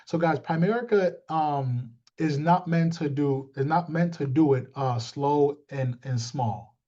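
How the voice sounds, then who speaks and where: A man's voice: on the high side, warm tone. A man in his thirties giving an informal motivational team talk as a coach, often repeating himself and checking in with listeners. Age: 30s. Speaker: sales team leader and coach. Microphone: video call. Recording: muffled, lo-fi video call audio.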